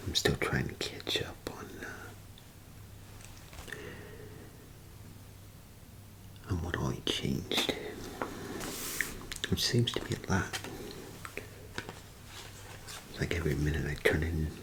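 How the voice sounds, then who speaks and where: A man role-playing an unhurried pawn shop keeper in a whispered ASMR scene. His low voice drops into a creaky murmur as he methodically mutters his way through each appraisal, with halting pauses. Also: rough recording. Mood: distracted. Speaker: ASMR performer.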